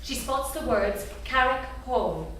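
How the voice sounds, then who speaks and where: A woman's voice: high voice, expressive, takes it slowly, fairly bright tone. A woman reading scripted stage directions as the narrator of a staged reading. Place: theatre stage before an audience. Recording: echoey theatre, distant, faint noise.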